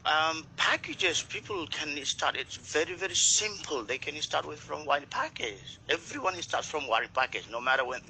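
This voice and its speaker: Earnest man from Nepal, bright voice. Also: a little high, expressive, normal speed, hardly any pauses, slight background noise, poor audio. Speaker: network marketer. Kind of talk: casual group chat.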